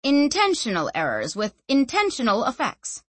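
A woman's voice: high-pitched, wildly swooping pitch, normal speed, no echo, pitched above the performer's own voice, full character voice. A woman voicing an ancient sentient object that talks through a young woman's body in a casual, slangy, breezy way. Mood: offhand. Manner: casual, slangy, matter-of-fact explaining.

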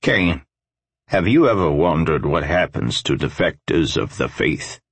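A man as a grave older defector, low and husky. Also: animated, measured pace, no echo, exaggerated voice. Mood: grave, probing. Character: older male religious defector, grave and measured.

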